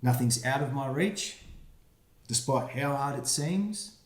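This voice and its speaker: A man, the book's author, reading his rhyming picture book aloud for children, with quiet asides about the pictures. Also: mid-range voice, lively intonation, slow pace. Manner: friendly, reads rhyming verse with quiet asides. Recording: roomy echo.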